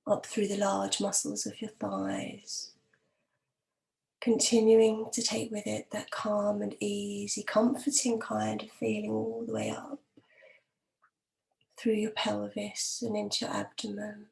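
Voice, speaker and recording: A woman leading a guided relaxation meditation as a hypnotherapist, calm, gentle and measured, with long pauses and a soft, sometimes breathy voice. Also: mid-range voice, rough recording.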